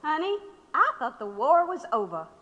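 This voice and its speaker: Defiant old woman, high and echoing. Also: very animated, normal speed, bright, forceful tone, highs cut off, full character voice. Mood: mocking, wry. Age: 60s. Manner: sarcastic, mocking, oratorical. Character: defiant, sharp-tongued 66-year-old woman activist under arrest. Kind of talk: radio drama acting. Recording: reverberant hall-like room.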